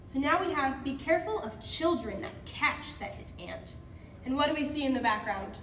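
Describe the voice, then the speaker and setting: A woman's voice: sits high, lively intonation, normal speed, a bit bright. A woman teacher leading an interactive picture-book read-aloud for children, encouraging and prompting with questions. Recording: echoey room, distant mic, background noise.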